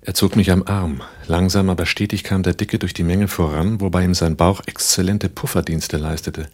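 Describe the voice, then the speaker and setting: An actor in a German radio play as an urbane, wary importer, speaking and narrating with dry, polite irony. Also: male voice, sits low, fairly even intonation, moderate pace.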